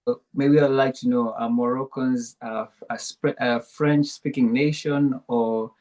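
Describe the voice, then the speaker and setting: A man's voice: medium pitch, steady tone, a little slow, darkish tone. A man hosting a podcast interview, reading sponsor plugs and asking questions with lots of hesitant "um"s. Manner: hesitant, many "um"s. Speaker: podcast host.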